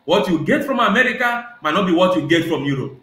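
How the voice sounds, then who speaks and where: A middle-aged man with a Nigerian accent whose first language is Igbo, a professor giving measured, rhetorical answers on a video call with many hesitant "uh"s. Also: sits high, lively intonation, a bit bright. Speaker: guest professor. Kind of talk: reflective interview answers. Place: small indoor room. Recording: echoey room on a video call.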